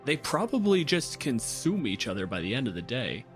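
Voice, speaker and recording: A man's voice: mid-range voice, big pitch swings, moderate pace, faint music behind. A man hosting a podcast, talking casually through ideas in a loose discussion. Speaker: podcast host.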